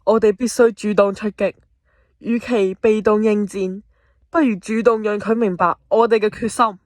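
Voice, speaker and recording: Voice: mid-range voice, steady tone, moderate pace, dry recording, studio quality, close to an everyday voice. Resolute male patriot general, amateur actor, declamatory and earnest, medium register, radio drama.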